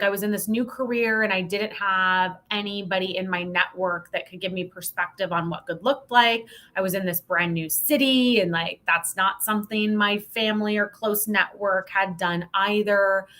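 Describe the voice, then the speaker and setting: An American woman speaks as a guest in a casual interview. Her voice is bright and punchy at a medium pitch. She comes across as candid and playful, leaning on her points with emphasis. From Montana, United States. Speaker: podcast guest, sales professional.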